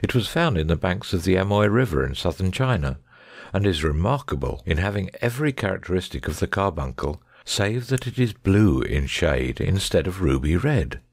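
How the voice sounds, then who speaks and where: A very low, gravelly gentleman detective, acted by a man in an audiobook drama. He is shrewd and commanding, with precise phrasing and a lively, swooping intonation. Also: normal speed, no room sound, studio quality, heavily acted. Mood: musing.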